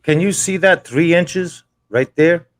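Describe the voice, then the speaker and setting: A man's voice: on the high side, slow pace. A man born in Portugal chatting as a guest on a livestream panel, stumbling and repeating his words as he talks. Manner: repeats himself, stumbling restarts. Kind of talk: casual livestream chat.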